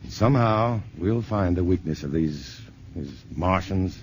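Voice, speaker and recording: A man's voice: low voice, expressive, a little slow, darkish tone. A man playing a level-headed scientist in a 1950s radio drama, speaking steadily and reassuringly. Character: level-headed male scientist, adult, calm and protective. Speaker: scientist hero in a radio play. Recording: muffled old radio broadcast with faint hiss.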